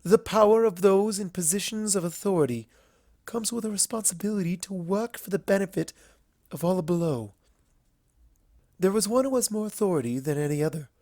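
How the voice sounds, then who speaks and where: A woman's voice: mid-range voice, lively intonation, fairly quick, mellow, no room sound, clean studio sound, pitched above the performer's own voice. A woman voicing a refined female unicorn pony in a storybook reading, earnest and solemn, speaking in a formal, eloquent, emotive way. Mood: pleading, fervent.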